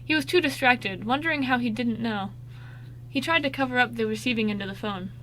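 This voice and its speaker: A woman's voice: a little high, fairly quick, fairly bright tone, slight background noise, rough recording. A woman narrating a scripted amateur radio drama, now and then stumbling over the script.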